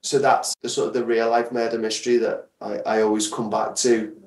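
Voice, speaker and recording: A man's voice: lowish voice, flat delivery, a little fast, creaky, a little mellow. A man speaking as a guest in a casual interview, enthusiastic and emphatic, often repeating words for stress. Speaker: guest, audio drama creator. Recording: roomy echo, distant from the mic.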